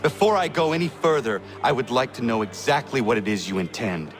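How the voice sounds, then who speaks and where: An actor playing a serious, blunt young assassin in a video game, speaking in a terse, formal, earnest way. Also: male voice, medium pitch, lively intonation, brisk, faint music behind, natural voice. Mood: frustrated.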